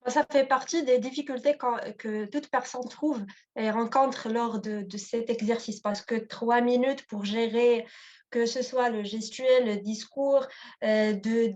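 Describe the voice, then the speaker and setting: A woman's voice: a little high, a little mellow, dull audio. A woman guest on an online webinar who talks hesitantly, with many fillers and restarts. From Morocco. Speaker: guest, past contest laureate. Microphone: video call.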